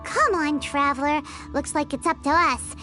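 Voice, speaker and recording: A tiny floating fairy sidekick with a very high, bouncy voice that leaps all over the place. She talks about herself in the third person, eager and nosy, drawing her words out slowly. Game music plays clearly behind her. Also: animated. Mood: indignant. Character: tiny chatty floating fairy companion, excitable. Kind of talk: video game cutscene voice acting.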